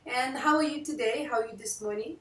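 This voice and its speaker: Lithuanian-accented woman, echoey and distant. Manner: earnest, slow and searching, with non-native grammar. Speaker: vlogger interviewing a guest. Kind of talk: personal interview chat. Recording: echoey room, voice off-mic, faint rumble.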